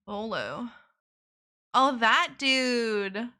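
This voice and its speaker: Bubbly high-voiced woman streamer. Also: lively intonation, extremely slow, pushed and bright. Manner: bubbly, giggly, reads out viewer thanks. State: sore throat. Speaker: VTuber streamer. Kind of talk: casual live-stream chat.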